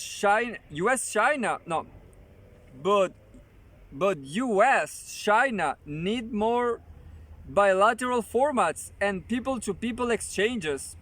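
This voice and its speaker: A man hosting his own channel, casually reading an article aloud with halting restarts and joking asides. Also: high-pitched, fairly bright tone.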